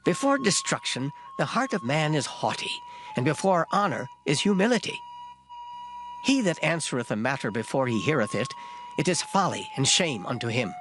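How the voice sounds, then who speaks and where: A man giving a dramatized Bible reading in a solemn, measured, declamatory manner. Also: fairly high pitch, sing-song, low-quality recording. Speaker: scripture reader. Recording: plucked guitar music behind voice. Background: plucked string music.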